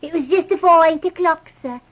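High-pitched, deferential English housemaid. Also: female voice, brisk. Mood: earnest. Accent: English, working-class. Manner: deferential, earnest, ungrammatical. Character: distressed, loyal English housemaid, servile and simple. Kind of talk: 1940s radio drama acting. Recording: muffled old radio recording.

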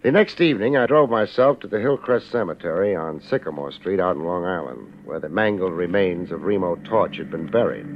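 A man's voice: low-pitched, lively intonation, a little fast, hardly any pauses, noisy background. A man playing a wry, laid-back, hard-boiled private investigator in a 1950s radio detective drama, talking in clipped, sardonic slang. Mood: brooding narration. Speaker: lead actor and narrator of a detective series. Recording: muffled vintage 1950s radio recording with music.

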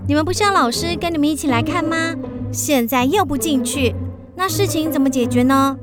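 A woman voicing a brisk, reassuring schoolteacher who coaxes and chides children in a radio drama. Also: high-pitched, over music, natural voice. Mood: exasperated, coaxing. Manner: lively, coaxing, chiding speech to children.

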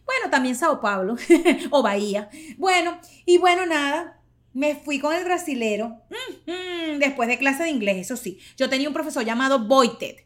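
A woman's voice: sits high, animated, moderate pace. A woman in her forties hosting a podcast, telling stories in a chatty, playful way and laughing often. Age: 40s. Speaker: podcast host. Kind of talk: casual storytelling monologue.

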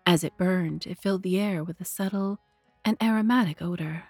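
A woman narrating a literary tale as a storyteller, measured, deliberate and expressive. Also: fairly low pitch, husky, no room sound, soft background music. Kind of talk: dramatic scripted literary narration.

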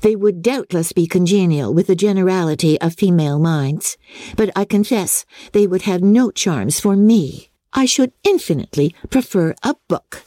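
A woman's voice: lowish voice, normal speed, dark tone, no room sound, voice pushed up, close to an everyday voice. Woman playing a prim, pompous, bookish young woman. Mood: grave, disapproving. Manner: grave, pompous, moralizing.

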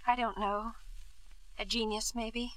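A woman playing an anxious, gentle middle-aged wife and mother in 1950s radio drama, speaking earnestly and hesitantly. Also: a little high, flat delivery, slow, no room sound, low-quality recording. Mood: wistful, worried.

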